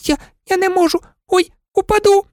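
A woman's voice: high voice, normal speed, a little mellow, dry recording, clean studio sound, voice pushed up, close to an everyday voice. A woman voicing a frightened, chattering old servant woman who pleads and wails. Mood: panicked pleading. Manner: pleading, wailing.

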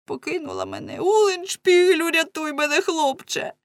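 A woman's voice: low-pitched, normal speed, vocal fry, darkish tone, no room sound. A woman voicing a big, timid, faithful husband in a storybook, stubborn and plaintive, with a pleading manner. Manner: pleading, whining.

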